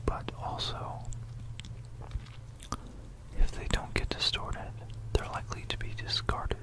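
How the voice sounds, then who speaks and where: An ASMR host whispering a rambling, informal history narration in a breathy, unvoiced voice, halting and musing, close to a binaural microphone. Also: low-quality recording. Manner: halting, musing, repeats phrases.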